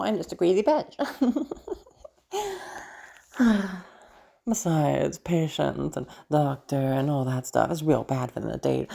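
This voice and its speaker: A woman playing a crazy, seductive female patient in an audio roleplay, teasing and menacing, with halting, drawn-out phrases that drop toward a hush. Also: sits low, vocal fry, full character voice. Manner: teasing, halting, drawn-out words with sudden hushed drops. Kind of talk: audio roleplay monologue addressed to listener.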